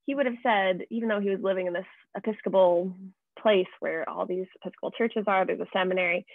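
Young american woman doing a slide talk on a video call, kinda halting, dry tinny sound. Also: mid-range voice, creaky, no room sound. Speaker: project presenter. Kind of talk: informal slide presentation. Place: indoors at home, on video call. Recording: muffled, band-limited video call audio.

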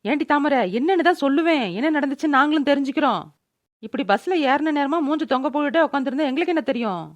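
A woman voicing a sassy, sharp-tongued young friend in a cartoon. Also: high voice, quick pace, close to an everyday voice. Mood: gossipy indignation. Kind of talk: cartoon voice-over dialogue.

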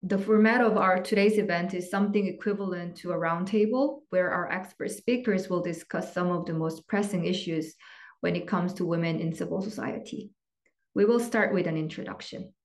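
A woman moderating an online event, reading measured, formal opening remarks over slides. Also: lowish voice.

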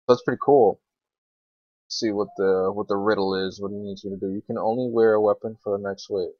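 Low-voiced teenage guy, muffled lo-fi mic. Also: fairly even intonation, a little fast, no echo. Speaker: gaming video creator. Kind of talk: casual rambling gameplay commentary. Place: indoors at a computer, screen recording. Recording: dull, lo-fi, dry computer mic.

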